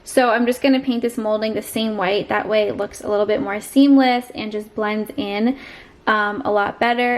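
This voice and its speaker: A woman in her twenties with a cold-roughened voice, chatting casually through a home kitchen makeover vlog. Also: fairly high pitch. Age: 20s. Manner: chatty, self-deprecating, many ums. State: hoarse from a cold. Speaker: home DIY vlogger.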